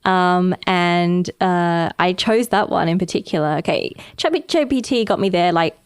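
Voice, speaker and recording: A woman's voice: lowish voice, measured pace, clear, resonant tone, dry recording, clean studio sound. An Australian woman, an astronomy lecturer, chatting and explaining her work on a podcast with a ready laugh. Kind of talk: casual podcast chat. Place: small podcast studio.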